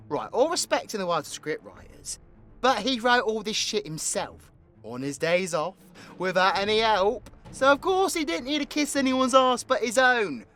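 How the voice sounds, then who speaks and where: A man's voice: very high-pitched, pushed and bright, heavily acted. A man with a British accent playing a cocky, foul-mouthed, sarcastic supervillain who tells stories in an audio roleplay. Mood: mocking, animated. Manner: cheeky, sweary, colloquial, theatrical swings into exclamation. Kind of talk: villain audio roleplay, rambling comedic storytelling.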